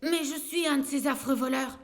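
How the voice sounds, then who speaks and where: An actor voicing a sulky, hurt wolf thief in an animated film, aggrieved and sarcastic. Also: high-pitched, normal speed.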